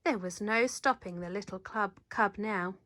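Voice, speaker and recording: Bright-voiced woman reading a children's story. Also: medium pitch, moderate pace, no room sound. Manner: animated, dramatic, leans into sound words. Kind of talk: expressive read-aloud narration.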